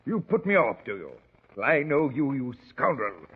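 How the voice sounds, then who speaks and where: A man's voice: mid-range voice, big pitch swings, moderate pace, slightly rough. An actor playing a middle-aged, bullying and threatening stepfather, a doctor, in 1940s radio drama style. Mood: angry, demanding. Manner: blustering, threatening, insulting. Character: menacing, blustering doctor, bullying violent stepfather. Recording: muffled old 1940s radio recording.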